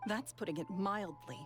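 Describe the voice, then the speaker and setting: An actress playing a guarded, bitter woman in a video game. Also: mid-range voice. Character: guarded, bitter woman haunted by an old death.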